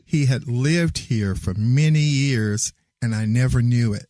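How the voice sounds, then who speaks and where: Slow, easygoing male host. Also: medium pitch, no echo, clean studio sound. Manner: relaxed, unhurried, friendly questioning. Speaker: podcast host. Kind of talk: casual conversational interview. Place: Nashville.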